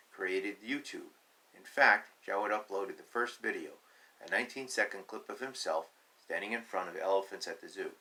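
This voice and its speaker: A man reads a children's book aloud in a slightly low-pitched voice with a bright, forceful edge. He has a teacherly manner, setting out key words emphatically and adding plain, simple asides to explain them. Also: rough recording. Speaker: reader of a children's picture book.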